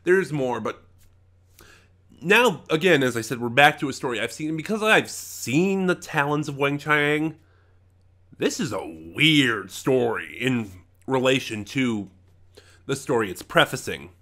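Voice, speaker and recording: A man with a bright, forceful voice at a medium pitch talks through an off-the-cuff review. He speaks casually and with emphasis, often breaking off mid-thought and starting the point again. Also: very animated. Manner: halting, trails off and regroups mid-thought. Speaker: online reviewer. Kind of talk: casual unscripted audio drama review.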